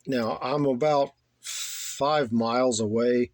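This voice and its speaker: A man giving a casual, unscripted tour of his hobby setup, plainspoken and halting, often repeating himself. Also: medium pitch, fairly even intonation, dry recording. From United States. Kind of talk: casual unscripted show-and-tell. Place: small home radio room.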